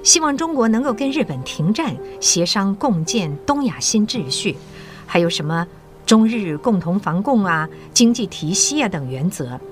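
An actress playing a mature, patriotic school principal in an audio drama, encouraging and persuasive with measured authority. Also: female voice, mid-range voice, big pitch swings, soft background music, natural voice. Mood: earnest indignation.

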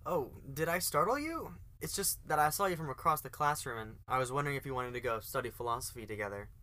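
A man's voice: mid-range voice, lively intonation, fast talker, sharp tone. A man playing an eager, casual college student in an amateur radio play, with stammering, informal delivery. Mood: eager, friendly. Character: eager, casual college student, self-aware bit character.

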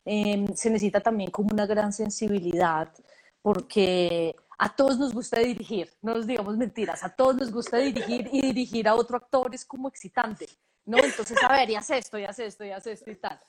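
A woman, a casting director giving relaxed, thoughtful interview answers with many fillers and restarts. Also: mid-range voice, fairly quick, low-quality recording.

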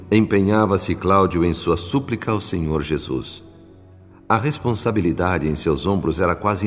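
A man with a Brazilian accent narrating a radio soap opera in a solemn, measured, dramatic scripted style. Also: low voice. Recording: long reverb on voice. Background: music.

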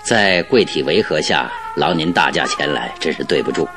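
A man's voice: very deep voice, animated, moderate pace, over music. A man acting in a radio drama as a confident, teasing master detective, with a theatrical, self-assured delivery. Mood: courteous, composed.

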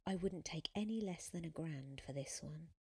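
A woman voicing a famous young man in an audiobook, a cool, teasing and gently world-weary heartthrob. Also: sits low, moderate pace, breathy edge. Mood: quietly amused. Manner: cool, quiet, intrigued.

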